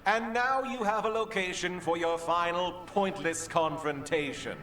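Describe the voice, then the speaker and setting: An actor playing a pompous, sardonic official in a video game drama, haughty and self-justifying. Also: male voice, high-pitched, measured pace, pushed and bright. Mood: mocking.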